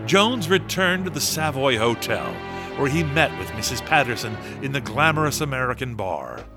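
An actor playing the composed narrator of a radio horror drama, telling the tale in a formal, literary way. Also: male voice, mid-range voice, big pitch swings, normal speed, over music. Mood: measured.

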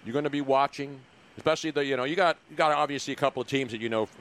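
A middle-aged or older American man with a mid-pitched voice hosts a casual sports show. He talks in brash street slang and banters with everyone, peppering his lines with "man.". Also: very fast, fairly bright tone, clear, resonant tone. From Philadelphia, USA. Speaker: podcast host.

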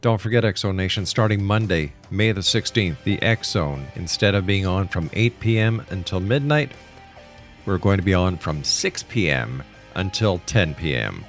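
A man's voice: low-pitched, lively intonation, moderate pace, faint music behind. A man hosting a radio show, interviewing and making announcements to listeners.

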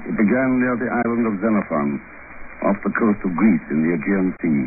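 An actor playing a suave, earnest government agent who narrates his case in a measured 1950s radio drama style. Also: lowish voice, animated, over loud music, natural voice. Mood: grave narration. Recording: dull old 1950s radio recording.

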